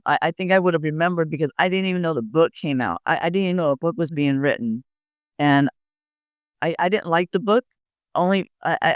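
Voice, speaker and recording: A woman's voice: low voice, smooth, no echo, clean studio sound. A woman in her fifties giving personal recollections as an interviewee, hesitant and often repeating her words.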